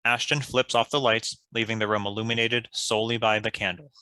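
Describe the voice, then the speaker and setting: Table read narrator: crisp-edged bright voice, scripted delivery of stage directions. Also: mid-range voice, fairly even intonation, a little fast.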